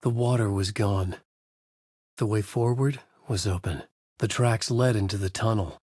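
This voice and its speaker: An actor playing a haunted, desperate horror writer, narrating in a brooding, intense noir style for a video game. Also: male voice, fairly low pitch, unhurried, a little mellow, husky, no room sound, studio quality. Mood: brooding, grave. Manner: brooding, intense, terse delivery. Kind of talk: dramatic first-person noir narration.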